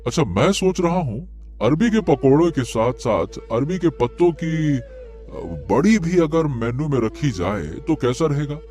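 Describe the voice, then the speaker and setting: An actor playing a hearty, supportive village farmer in a Hindi cartoon story, speaking emphatically. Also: male voice, fairly high pitch, animated, normal speed, mellow, natural voice. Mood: thoughtful. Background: background music.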